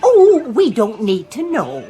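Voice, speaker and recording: A kindly older woman in a radio drama. She speaks slowly and solicitously in a rather high, very sing-song voice, with music clearly behind her. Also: huge pitch swings, exaggerated voice. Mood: kindly, concerned. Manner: gentle, solicitous. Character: kindly, motherly older woman waiting for a bus.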